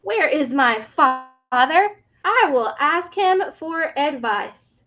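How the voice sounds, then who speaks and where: A woman's voice: sits high, animated, slow, bright, forceful tone, full character voice. A woman voicing a tiny young T. rex from a children's storybook, childlike, earnest and determined, in short careful sentences. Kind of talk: children's storybook read-aloud.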